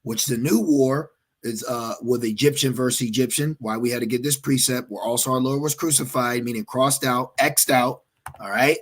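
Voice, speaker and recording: A man's voice: mid-range voice, normal speed, breathy edge. A man preaching in an emphatic, repetitive way, with frequent fillers and checks like "okay?". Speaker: religious teacher. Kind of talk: preaching commentary.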